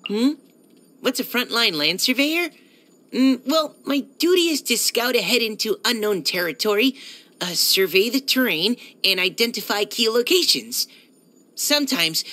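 A timid, earnest young male surveyor from a video game, speaks. The voice is bright and forward, sitting at a middling pitch for a female voice. He is courteous and a little hesitant, always keen to be useful. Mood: modest, hesitant. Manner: hesitant, soft-spoken politeness, eager to help. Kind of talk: video game character voice-over.

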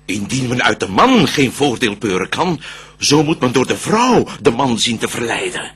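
A man's voice: medium pitch, sing-song, moderate pace, a bit bright, breathy edge, full character voice. A man playing a cunning, calculating and defiant devil in a classical verse radio play, speaking with theatrical emphasis.

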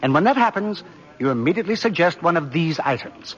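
A fussy officious store boss, high and lively, dull old radio drama sound. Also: male voice, fairly quick. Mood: brisk, officious. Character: eager, fussy department store manager. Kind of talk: 1940s radio acting. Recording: dull old radio recording, faint music.

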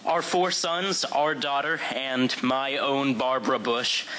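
A man's voice: medium pitch, steady tone, takes it slowly, pushed and bright, low-quality recording. A young man, a student actor, delivering a dramatic reading of political victory speeches in a declamatory public-speaking manner. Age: young adult. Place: indoor room before an audience. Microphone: podium microphone. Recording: live event, faint room noise.

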